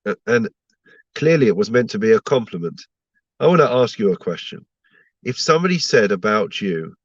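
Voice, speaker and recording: Man, low voice, stuffy nose from a cold, chatty teaching with questions, dry muffled recording. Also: no room sound. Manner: warm conversational teaching, rhetorical questions. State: blocked up with a cold. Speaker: rabbi giving a Torah class. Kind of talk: online Torah lesson to viewers.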